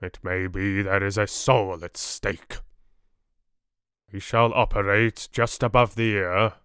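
A man voicing a stern older professor who speaks in a quiet, grave, measured way. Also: fairly low pitch, level tone, clean tone, dry recording, clean studio sound. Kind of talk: audiobook character voice.

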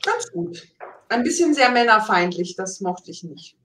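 A woman's voice: a little high, sing-song, measured pace, a bit bright. Echoey, noisy middle-aged woman on call. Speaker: livestream panelist. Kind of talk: casual chat. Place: home room, indoors. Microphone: video call.

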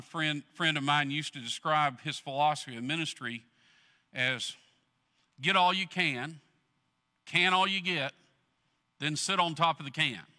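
A middle-aged man preaching a sermon from a church stage, earnestly swelling loud, then dropping low. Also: big-room sound. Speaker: pastor.

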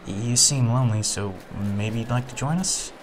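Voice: medium pitch, normal speed, slight background noise, lightly acted. A performer voicing a friendly but awkward young man who stammers nervously.

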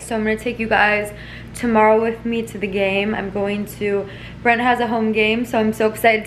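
A young woman talks straight to a camera held close at home, loose and chatty, with plenty of "like" and "um". Her voice sits at an average pitch over a faint hum of room ambience. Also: fairly bright tone. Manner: chatty, rambling, lots of fillers. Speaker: vlogger. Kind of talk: casual vlog chat to camera. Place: home living room and kitchen. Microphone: camera at arm's length.

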